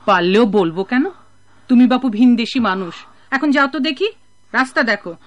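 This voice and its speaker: An actress playing a kindly, hospitable innkeeper in a radio drama, fussing and scolding with care. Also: female voice, on the high side, normal speed, close to an everyday voice. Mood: brusque, wary. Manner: expressive, fussing, scolding yet caring. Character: kindly, motherly woman innkeeper, brisk but hospitable. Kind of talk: Bengali radio drama acting.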